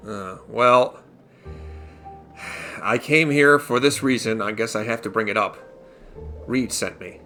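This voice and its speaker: A man hosting a casual let's play, his voice gravelly as he fights a cold, talking into a desktop microphone. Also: on the low side, slow pace, pauses often, bright, forceful tone. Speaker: gaming channel host. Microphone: USB desktop condenser microphone. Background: game music.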